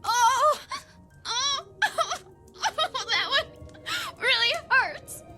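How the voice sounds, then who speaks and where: A woman's voice: extremely high pitch, expressive, very slow, bright, forceful tone, soft background music, heavily acted. An actress playing a frightened but blunt fourteen-year-old runaway girl in a naturalistic screen drama. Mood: in pain.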